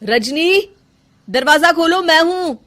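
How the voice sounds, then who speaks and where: Woman voicing an insistent, earnest young husband, high-pitched. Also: sing-song, a little slow, a little mellow, husky, exaggerated voice. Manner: insistent, urgent. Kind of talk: dramatised story acting.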